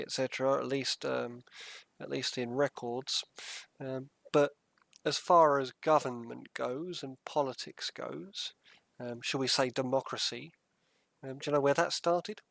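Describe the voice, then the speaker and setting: A man's voice: mid-range voice, creaky, a bit bright, no room sound. A British man co-hosting a casual podcast, easygoing and jokey, with the odd filler as he sets up the topic. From United Kingdom. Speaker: podcast co-host. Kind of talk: casual chat.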